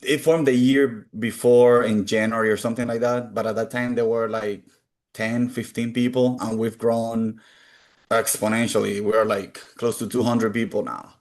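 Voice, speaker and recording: A man's voice: mid-range voice, level tone, normal speed. Earnest Cuban-born man. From Cuba. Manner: earnest, open and reflective. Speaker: guest. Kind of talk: conversational interview answers.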